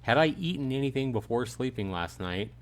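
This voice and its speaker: A man's voice: medium pitch, animated, a little fast. A man streaming a visual novel, casually reading the text aloud and chatting jokily with his viewers. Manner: chatty, jokey, talks back to chat.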